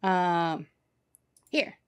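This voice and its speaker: A young adult woman giving casual reaction commentary, haltingly searching for her words, recorded on a desk microphone indoors. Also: lowish voice, clear, resonant tone. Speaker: reaction video host. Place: small bedroom setup, indoors. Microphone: desk microphone on boom arm.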